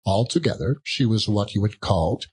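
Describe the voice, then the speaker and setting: A man narrating a classic tale as a dramatic audiobook, in a theatrical, measured way. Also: on the low side, expressive, darkish tone, breathy edge.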